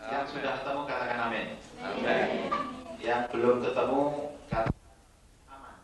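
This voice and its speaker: A man's voice: mid-range voice, fairly even intonation, moderate pace, crowd noise. A middle-aged man preaching a sermon to a congregation, joking playfully with them. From Indonesia. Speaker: guest preacher. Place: church hall. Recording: roomy church sound from a distant mic.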